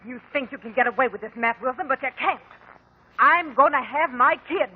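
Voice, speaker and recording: A woman's voice: sits high, fairly quick, husky. A woman playing a hard, greedy ex-convict mother with an American accent, tough and sneering, in a vintage radio drama. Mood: defiant. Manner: tough, sarcastic, slangy. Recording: tinny, narrow 1950 radio recording.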